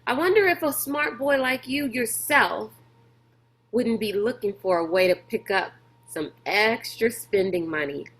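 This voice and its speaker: Big brash schemer for a storybook, voiced by a woman: loud, folksy, bossy, unhurried. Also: on the high side, lively intonation. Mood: sly, boastful. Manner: loud folksy drawl, hollering, pushy.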